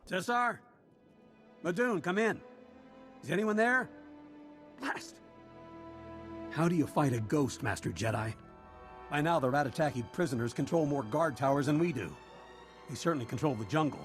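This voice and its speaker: An actor playing a frustrated, battle-worn prison officer in a video game, brisk and practical. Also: male voice, a little high, animated, faint music behind, natural voice. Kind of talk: video game character acting.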